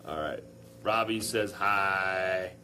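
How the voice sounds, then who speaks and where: A man's voice: low-pitched, takes it slowly, sharp tone. A young man hosting a casual live Q&A, upbeat and joking as he reads out viewer comments. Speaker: live stream host.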